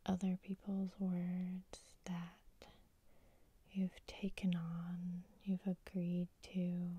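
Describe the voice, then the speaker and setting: A woman whispering softly as the host of an ASMR sleep hypnosis session, guiding the listener in a slow, soothing way. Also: fairly low pitch, breathy edge, no echo. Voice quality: soft whisper.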